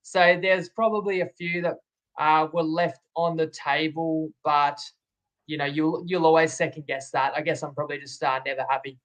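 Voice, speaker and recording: A man's voice: fairly high pitch, steady tone, normal speed, a bit bright, rough recording. A man with an Australian accent, co-hosting a betting podcast, reflective and a little self-critical, with some hesitant fillers. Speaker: co-host and tipster. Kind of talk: casual sports betting podcast chat.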